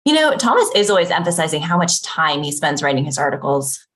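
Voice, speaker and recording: A woman playing a cool, unbothered boss of forty in a table read of a TV pilot, curt and deadpan. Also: low-pitched, brisk. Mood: questioning.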